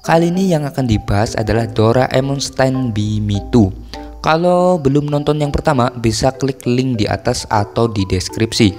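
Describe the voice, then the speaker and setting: A man's voice: mid-range voice, moderate pace. A man casually narrates a film plot from a script as a recap host. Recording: long reverb tail with music underneath. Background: background music.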